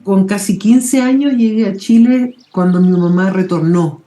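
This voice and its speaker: A woman in her forties, the guest on an interview show, talking in a relaxed, thoughtful way with pauses and restarts. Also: mid-range voice, roomy sound, soft background music. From England. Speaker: guest mycologist. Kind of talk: casual interview talk.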